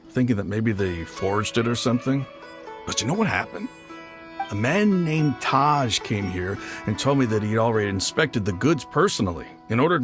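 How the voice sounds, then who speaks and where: Guy doing a gossipy clerk npc in a video game, casual n defensive, swingy pitch, bit of music. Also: close to an everyday voice. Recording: faint game music behind voice.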